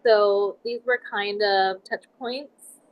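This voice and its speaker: A woman's voice: medium pitch, fairly even intonation, dull audio. Reflective woman in her forties. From United States, Nebraska. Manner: reflective, measured storytelling. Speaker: podcast guest. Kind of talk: casual interview talk.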